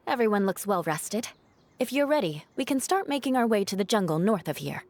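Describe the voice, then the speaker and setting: Lilting, composed young adventurer. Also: female voice, mid-range voice, sing-song, normal speed, darkish tone. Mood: calm. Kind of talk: video game voice acting.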